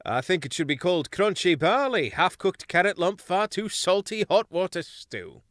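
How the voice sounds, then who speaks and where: A man's voice: high voice, expressive, moderate pace, a bit bright, dry recording, studio quality, pitched above the performer's own voice. A man voicing a good-humoured mouse ship captain in a storybook, hearty and wry.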